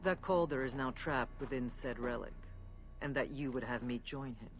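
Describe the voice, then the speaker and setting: A woman voicing a proud, shrewd frost giant leader in a dramatic video game cutscene, with a measured, formal, wry delivery. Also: very deep voice, music in the background. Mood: grave.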